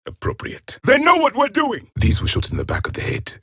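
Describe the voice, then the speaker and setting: A man's voice: extremely high pitch, huge pitch swings, a little fast, a bit bright, dry recording, studio quality, heavily acted. A man playing a proud, stern alien warrior from an ancient race in a video game, speaking formally with haughty disdain. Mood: cold, disdainful. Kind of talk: video game character voice lines.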